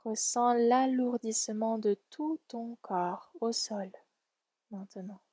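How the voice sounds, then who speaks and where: A woman leading a guided relaxation meditation in a soft, soothing, gentle and unhurried way. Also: fairly high pitch, slightly rough, no echo. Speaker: yoga nidra guide.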